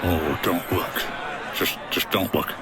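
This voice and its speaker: An actor playing a gruff, no-nonsense hotel owner turned sleuth in a comedy noir audio drama, terse and exasperated. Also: male voice, low-pitched, fairly even intonation, slow, a loud crowd, heavy background noise.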